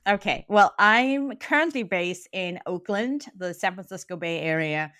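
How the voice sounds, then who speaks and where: A woman's voice: fairly low pitch, a little slow. A middle-aged woman from South Africa with a South African accent, a trainer and coach chatting animatedly as a podcast guest. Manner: animated, laughs easily, repeats words when starting. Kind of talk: conversational interview.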